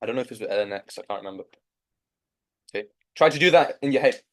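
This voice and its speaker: A man's voice: mid-range voice, expressive, fast talker. Encouraging Yorkshire maths tutor, a man. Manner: encouraging, coaxing students. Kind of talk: live online maths lesson.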